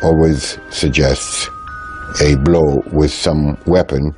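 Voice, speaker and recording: Very deep, slow-speaking male expert. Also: steady tone. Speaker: forensic expert interviewee. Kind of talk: documentary interview. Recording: loud music behind interview clip.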